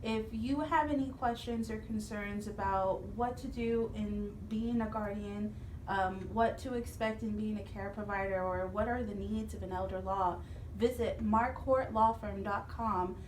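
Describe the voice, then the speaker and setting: A woman's voice: medium pitch, a little slow. A woman co-hosting a radio show, presenting to the listeners. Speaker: radio co-host. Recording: roomy sound with faint noise.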